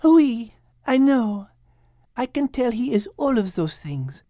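A man voicing a clever female cat leader with a French accent, speaking in a measured, formal way for an audiobook. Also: extremely high pitch, very animated, dark tone, dry recording, clean studio sound, pitched above the performer's own voice, heavily acted. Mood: gracious. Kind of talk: animated audiobook character reading.

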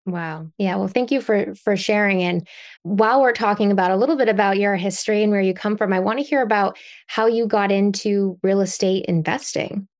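A woman of medium pitch hosts a podcast interview. She reads a prepared introduction and asks her questions in an upbeat, admiring tone. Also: fairly quick. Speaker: podcast host.

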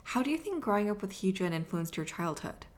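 A woman's voice: mid-range voice, sing-song, a little fast, slight background noise. A woman hosting a podcast, reading a scripted opening and then asking her guest questions in an encouraging way. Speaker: podcast host.

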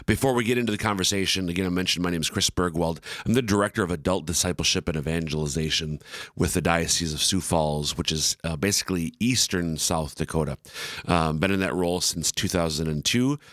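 Deep-voiced man hosting an interview. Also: fairly bright tone, dry recording, clean studio sound. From Minnesota, USA. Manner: friendly, halting, many restarts. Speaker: podcast host.

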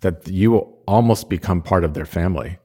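A man hosting an interview, asking steady, encouraging questions. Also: low voice, moderate pace, slightly rough. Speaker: podcast host.

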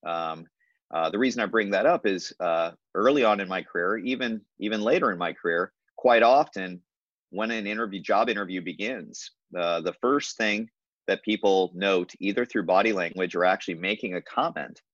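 Man, very low voice, dry dull lo-fi sound, thoughtful pauses, measured delivery. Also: fairly bright tone, dry recording. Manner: measured, many fillers and restarts. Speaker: hospital leader, guest. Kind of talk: reflective conversational interview answer.

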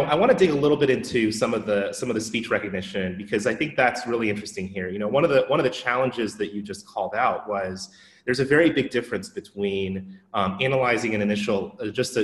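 Man hosting a tech podcast, echoey room. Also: on the low side. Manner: relaxed, enthusiastic, with many "you know" fillers. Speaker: podcast host. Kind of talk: casual tech podcast interview. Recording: roomy echo.

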